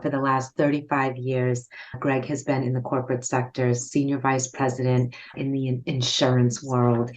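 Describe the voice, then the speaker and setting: A woman's voice: extremely low pitch, highs cut off. A woman hosting a podcast interview, upbeat and welcoming, asking follow-up questions. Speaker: podcast host. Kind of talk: conversational interview.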